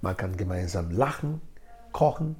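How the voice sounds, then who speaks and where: A man's voice: low-pitched, animated, moderate pace, darkish tone. A middle-aged man from Nigeria, a native speaker of Edo, answering interview questions in an explanatory way, repeating words for emphasis. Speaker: interview guest, integration project leader.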